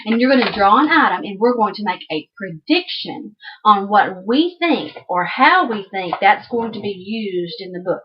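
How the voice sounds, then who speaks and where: A woman's voice: mid-range voice, animated, normal speed, husky, muffled recording. A woman with a Southern American accent teaching children through an interactive read-aloud, asking questions and repeating answers back.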